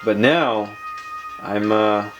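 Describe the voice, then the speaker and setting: A man's voice: fairly low pitch, very slow, lo-fi sound. A man hosting a casual tech and gaming vlog, talking informally with slangy, self-deprecating commentary. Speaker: video host. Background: background music.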